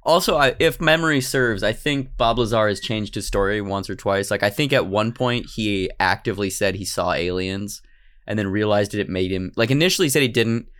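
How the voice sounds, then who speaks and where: A man's voice: lowish voice, a little fast, a bit bright, smooth. A man hosting a casual podcast, telling a story in a wry, rambling, joking way. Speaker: podcast host.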